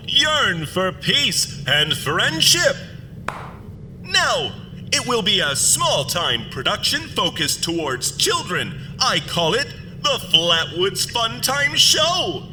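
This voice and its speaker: High, slow, piercingly bright alien thespian, grandiose and florid, for audio drama; long reverb. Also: male voice, slight background noise, exaggerated voice. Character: theatrical alien monster, pompous self-styled thespian playwright.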